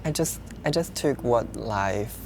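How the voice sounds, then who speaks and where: A man's voice: mid-range voice, animated, slow pace, creaky, noisy background. A man with a Chinese accent, a dancer talking reflectively in an interview. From China. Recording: loud music behind the voice. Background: loud background music.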